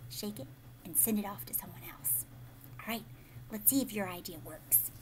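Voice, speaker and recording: A woman in her thirties reading a picture book aloud to children in an expressive, explanatory way, pausing to ask questions. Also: fairly high pitch, a little hiss or hum. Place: living room at home, indoors.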